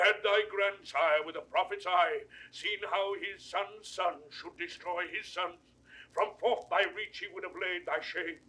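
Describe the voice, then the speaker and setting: A man's voice: high voice, bright, forceful tone, low-quality recording. An actor playing a bitter, defiant old dying nobleman in declamatory 1960s Shakespearean audio drama style. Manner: declamatory, impassioned.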